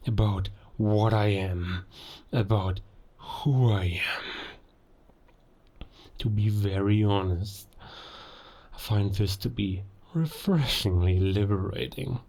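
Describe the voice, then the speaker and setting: Man playing a slow, low-voiced, menacing werewolf villain. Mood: quietly threatening. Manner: slow, deliberate, pausing, quietly menacing. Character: cold, menacing male werewolf villain, a murderous spy. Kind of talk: cinematic audio roleplay monologue.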